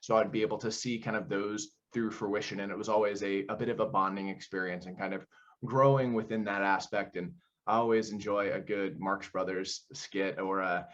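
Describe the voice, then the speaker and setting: Male director as interviewee, lowish pitch, conversational explainer who poses his own questions, dull recording. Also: fairly even intonation, moderate pace, fairly bright tone. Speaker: audio play director being interviewed.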